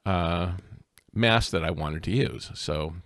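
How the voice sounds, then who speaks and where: A man with a deep voice talks through his hobby project in a relaxed how-to style. He speaks slowly, stopping often for ums and uhs. The sound is dry and close. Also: dry recording. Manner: hesitant, many filler sounds. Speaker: hobbyist video maker. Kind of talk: casual how-to narration. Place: outdoors in the desert.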